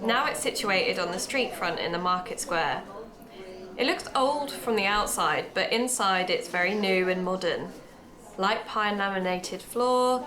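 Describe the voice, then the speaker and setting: A woman's voice: mid-range voice, lightly acted. A woman playing a keen young amateur podcaster in an audio drama, chatty and explanatory in manner. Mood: describing carefully. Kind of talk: naturalistic audio drama dialogue and narration.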